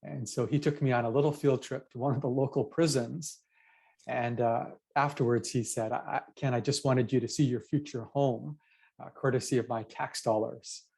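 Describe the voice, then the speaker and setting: A middle-aged man from Japan, a pastor and author, answers interview questions. He tells his story in a measured, reflective way. His voice sits at an ordinary middle pitch. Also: steady tone, gravelly, a little mellow, husky.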